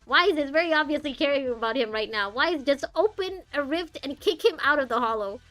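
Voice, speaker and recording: A woman's voice: high-pitched, expressive, fast, fairly bright tone. A woman streamer giving casual commentary on a story game and talking back to its characters.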